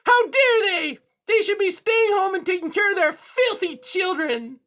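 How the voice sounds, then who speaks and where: A woman's voice: very high voice, expressive, a little slow, fairly bright tone, pitched above the performer's own voice, exaggerated voice. A woman voicing a sneering, bullying female cat villain in a children's story, with a menacing, snarling manner. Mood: outraged.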